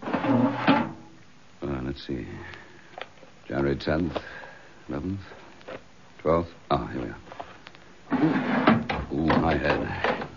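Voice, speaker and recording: Casting: determined English police inspector, very deep and expressive, clipped radio drama delivery. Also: male voice, very slow, vocal fry, long pauses, very noisy, heavily acted. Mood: weary. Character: determined, dogged police chief inspector, recently suspended. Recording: dull, lo-fi radio drama recording.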